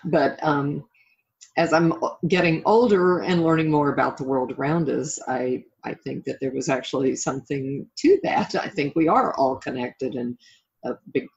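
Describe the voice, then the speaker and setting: Husky low-fidelity middle-aged American woman. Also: moderate pace, a little mellow, highs cut off. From southern United States (Florida). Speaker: interview guest, life coach. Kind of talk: reflective interview talk about own life.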